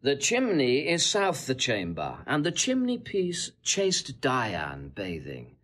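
A sly braggy shakespeare gentleman, very animated, bit husky, insinuating and theatrical. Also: mid-range voice, slow pace, heavily acted. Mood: boastful, insinuating. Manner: theatrical, insinuating, relishing detail. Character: sly, boastful Italian gentleman, smooth-tongued seducer taunting a husband. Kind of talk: classical Shakespearean audio drama acting.

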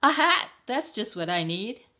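A woman's voice: medium pitch, big pitch swings, measured pace, pushed and bright, pitched above the performer's own voice. A woman voicing a curious, naive hen in a picture book, exclaiming eagerly as if to small children. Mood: delighted. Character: curious, naive, delighted female hen hunting for a hat.

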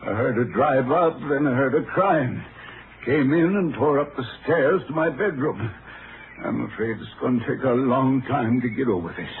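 A man's voice: mid-range voice, normal speed, close to an everyday voice. An actor playing a wealthy rancher and worried father in a 1950s radio drama, with emotive delivery. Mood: subdued, polite. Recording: tinny, noisy old radio recording.

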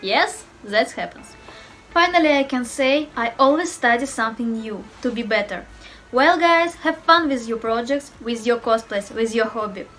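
A woman's voice: fairly high pitch, normal speed, a bit bright, soft background music. A woman in her twenties from Russia with a Russian accent, a cosplayer chatting to camera at home in careful non-native English. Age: 20s. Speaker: cosplayer and costume designer. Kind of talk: self-introduction to camera. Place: home room indoors.

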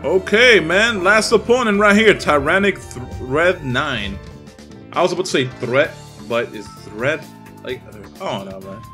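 A man's voice: a little high, expressive, a little slow, pushed and bright. A young man of Dominican background giving casual, profane, trash-talking commentary over his own online match. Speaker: gaming YouTuber. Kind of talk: live gameplay commentary. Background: game music.

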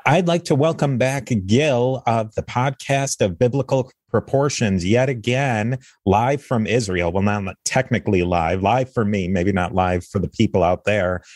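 A man's voice: fairly low pitch, unhurried, few pauses, a little mellow, no room sound, clean studio sound, faint music behind. A man hosting a history podcast, reading thanks to supporters and then chatting earnestly with a guest. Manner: earnest, with fillers and restarts. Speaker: podcast host. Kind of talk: casual podcast conversation.

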